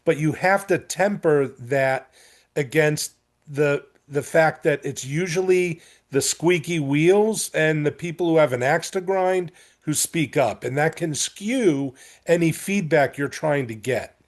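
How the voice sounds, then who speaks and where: A man's voice: a little high, unhurried. American man giving conversational advice. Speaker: school board chair speaking in a meeting. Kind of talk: extemporaneous meeting discussion.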